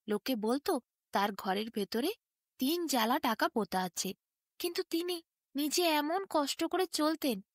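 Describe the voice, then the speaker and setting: High-pitched, animated woman storyteller. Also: no echo, clean studio sound. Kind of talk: expressive Bengali bedtime story narration.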